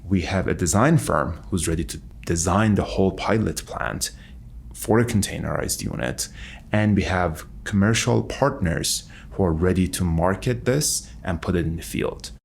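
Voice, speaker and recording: A man's voice: low voice, normal speed, creaky, a little hiss or hum. A man who works as a researcher and mentor, talking reflectively in an interview.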